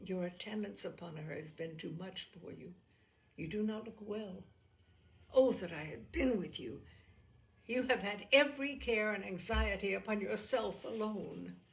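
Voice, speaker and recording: A woman's voice: medium pitch, measured pace, creaky, rough recording. A woman voicing a passionate, caring and indignant young sister, exclaiming emphatically in a storybook reading. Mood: concerned, indignant.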